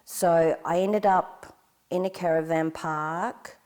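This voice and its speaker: Low-voiced measured Australian woman. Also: steady tone, a little mellow. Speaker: interviewee. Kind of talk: documentary interview.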